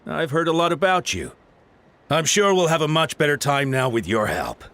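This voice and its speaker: A man's voice: a little high, expressive, normal speed, a bit bright. A man playing a courteous, earnest caretaker in a video game, speaking politely and with measure. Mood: welcoming. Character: courteous, earnest man tending to battle casualties. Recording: music playing behind the voice.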